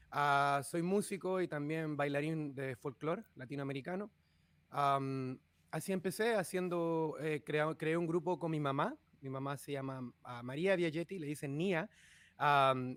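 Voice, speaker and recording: A man from northern Chile with a Chilean accent, a musician in his thirties, talking about his life in a relaxed way with many pauses and filler sounds. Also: a little high, no room sound. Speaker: musician, guest. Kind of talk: conversational interview.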